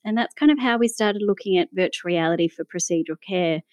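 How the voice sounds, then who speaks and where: An Australian-accented woman speaks as an interview guest, explaining things in a conversational storytelling way. Her voice is smooth and a little low. It is recorded dry, without room echo. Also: fast, a little mellow. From Melbourne, Australia. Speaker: guest, pediatric doctor.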